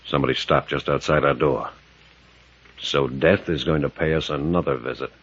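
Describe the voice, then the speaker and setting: An actor playing a level-headed, resolute adventure captain, full of probing questions, in 1940s radio drama style. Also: male voice, a bass voice, steady tone, normal speed, noisy background. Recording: muffled vintage radio recording.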